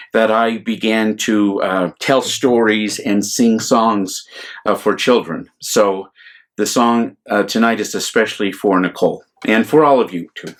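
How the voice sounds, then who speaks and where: A middle-aged man talking simply to children as the host of a storytime, in short, unhurried phrases. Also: lowish voice.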